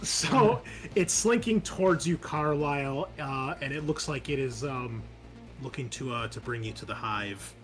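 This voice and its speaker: A man's voice: medium pitch, measured pace. Man running a tabletop game over music. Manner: informal, swearing, explains rules in asides. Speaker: game master. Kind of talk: narrating a tabletop roleplaying game online. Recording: music playing behind the voice. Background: background music.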